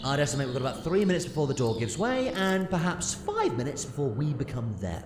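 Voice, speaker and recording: An actor playing an eccentric, quick-witted alien doctor in an audio drama, with a theatrical, wry manner. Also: male voice, medium pitch, wildly swooping pitch. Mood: brisk, curious. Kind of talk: full-cast audio drama acting. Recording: roomy sound with music behind.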